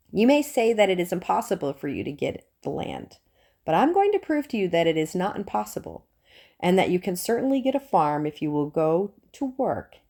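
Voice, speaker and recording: A woman narrating a nonfiction audiobook in a measured, earnest and formal way. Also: low voice, dark tone. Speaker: audiobook reader.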